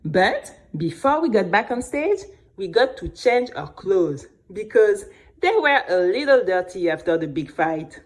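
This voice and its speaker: A woman's voice: mid-range voice, unhurried. A woman playing an earnest, chatty young girl who narrates her own adventure expressively, talking straight to the listener. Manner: expressive, conversational, addresses listener. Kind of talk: animated first-person story narration.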